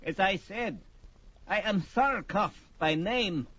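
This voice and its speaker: An aged sorcerer, speaks high and slowly with theatrical emphasis. He is indignant and boastful, swinging widely in pitch as in a vintage fantasy record drama. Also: lively intonation, sharp tone, slightly rough, dry recording, exaggerated voice. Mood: indignant, aggrieved. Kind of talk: 1970s audio drama record acting. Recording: dull vintage story record.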